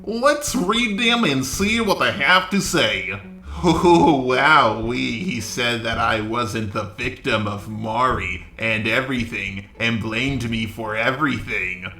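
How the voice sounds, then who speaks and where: A man's voice: mid-range voice, slow, sharp tone, reverberant, soft background music. A man delivering blunt, sweary, opinionated commentary as a video host, often asking rhetorical questions. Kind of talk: opinionated drama commentary, talking to camera audience.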